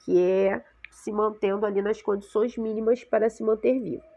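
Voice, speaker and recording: A woman's voice: medium pitch, steady tone, moderate pace, dark tone. A woman with a Brazilian Portuguese accent teaching a science lesson to sixth graders, congested from a cold and often checking in with her class. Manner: explanatory, checks in with frequent "tá?" and "né?".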